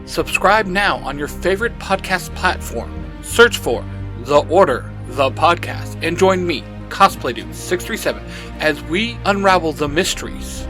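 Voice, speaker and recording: Man hosting a show over music. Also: sits high. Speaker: host and podcaster. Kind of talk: scripted show intros and promos. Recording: music behind the voice. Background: background music.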